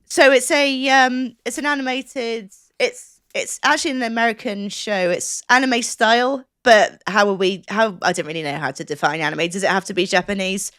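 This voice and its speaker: A woman's voice: medium pitch, moderate pace, a bit bright, no room sound, clean studio sound. A woman co-hosting a podcast in casual chat, talking in a rambling, hesitant, conversational way. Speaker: podcast co-host. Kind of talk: casual chat, reviewing what she has watched.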